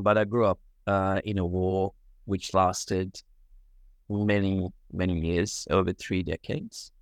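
Deep-voiced man, earnest and halting. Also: no room sound. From Sri Lanka. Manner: earnest, with frequent pauses and fillers. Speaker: guest, medical doctor. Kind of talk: reflective interview answers.

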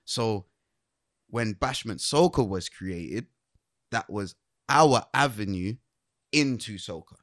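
A man's voice: on the low side, lively intonation, slow pace, long pauses, a bit bright, no room sound, clean studio sound. A young man from Barbados with a Barbadian accent, chatting casually as a guest, laid-back and laughing often. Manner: laid-back, laughs often, speaks in a Caribbean-British slang mix. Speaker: guest soca artist. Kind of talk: casual podcast chat.

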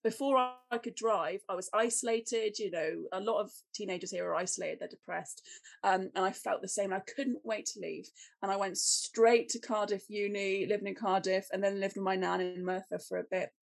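Chatty young Cornish woman with a gravelly edge. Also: medium pitch, steady tone, normal speed. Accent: English. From Cornwall. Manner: chatty, laughs often, self-deprecating. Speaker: podcast guest. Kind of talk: casual interview chat.

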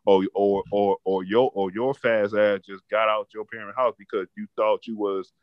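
A man's voice: fairly low pitch, steady tone, normal speed, no echo, highs cut off. A man in his twenties chatting casually, rambling and profane, often repeating words as he starts a thought. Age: 20s. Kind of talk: casual chat.

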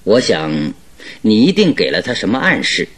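A man acting a shrewd, jovial, self-assured English detective in a Mandarin radio drama, explaining his deductions expansively with a slightly husky voice. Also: on the low side, sing-song, moderate pace, a bit bright, full character voice. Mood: modest, genial.

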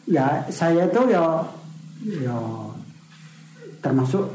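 A man's voice: a little high, lively intonation, slow pace, darkish tone, echoey room, slight background noise, poor audio. A man in his sixties from East Java with a Javanese accent, reminiscing hesitantly in a casual interview, with many fillers and restarts. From East Java, Indonesia. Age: 60s. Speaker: interviewee, retired village official.

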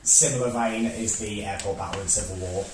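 A man chatting about his favourite film scenes in a relaxed way. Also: fairly low pitch, brisk, a little hiss or hum. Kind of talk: casual film chat. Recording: echoey room, distant mic.

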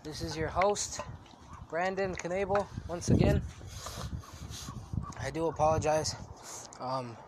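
A man's voice: on the high side, takes it slowly, long pauses, very noisy. Sniffly man rambling on his podcast. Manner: rambling, many fillers and restarts. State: sniffly with a cold. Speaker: podcast host. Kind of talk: casual solo podcast talk.

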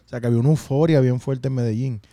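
A man's voice: mid-range voice, fairly even intonation, rapid-fire, no echo. A man co-hosting a casual podcast, joking and bantering with his partner, wearing a headset in a studio. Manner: playful, chants and shouts in the intro. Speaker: podcast co-host.

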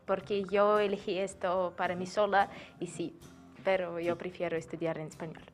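A woman's voice: on the low side, level tone, normal speed, soft background music. A young woman from Moscow with a Russian accent, a guest exchange student answering in simple, polite learner's speech. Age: young adult.

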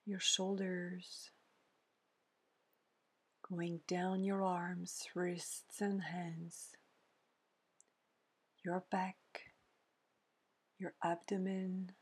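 A woman leading a guided meditation in a soft, breathy, near-whispering voice, gently soothing, with pauses between short phrases. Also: on the low side, little pitch movement. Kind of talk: guided meditation, scripted narration.

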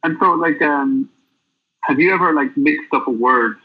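A man being interviewed as a guest, telling stories in a rambling, halting way. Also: on the high side. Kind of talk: casual interview chat. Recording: tinny phone-line audio.

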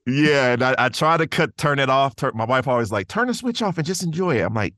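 A man's voice: medium pitch, animated, quick pace, a bit bright, dry recording, clean studio sound. A man speaking informally as a guest voice actor in a casual interview, chuckling between phrases.

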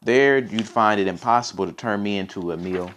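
A man's voice: on the low side, a little fast, a bit bright, close to an everyday voice. A man voicing a small, plucky male quail in a fable, bold and challenging. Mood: bold protest. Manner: exclaiming, challenging.

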